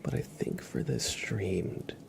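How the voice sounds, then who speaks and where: A man hosting an ASMR livestream, chatting softly and breathily to viewers, unhurried and often repeating words. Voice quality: whispered, breathy soft voice. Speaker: livestream host.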